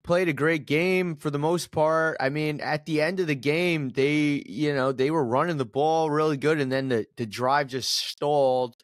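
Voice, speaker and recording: A man's voice: fairly high pitch, normal speed, few pauses, no echo, studio quality. A man co-hosting a casual sports podcast, reading promos in a sing-song way and rambling with restarts in the chat. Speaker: podcast co-host.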